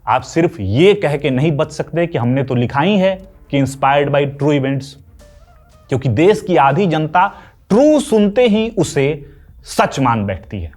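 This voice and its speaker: A man's voice: on the high side, animated, unhurried, a little mellow. A man with an Indian accent delivering scripted explainer commentary in an emphatic way, with rhetorical questions. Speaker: video essay host. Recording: music behind the voice. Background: background music.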